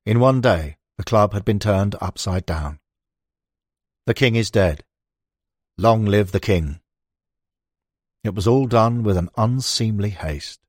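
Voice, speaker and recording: A man with a husky voice reads a non-fiction football book as scripted narration. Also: on the low side, darkish tone, no room sound, clean studio sound. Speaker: audiobook narrator.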